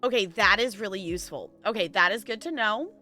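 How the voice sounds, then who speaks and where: A woman's voice: mid-range voice, fairly quick, sharp tone, faint music behind. A woman live-streaming, chatting casually with her viewers in a rambling, giggly way.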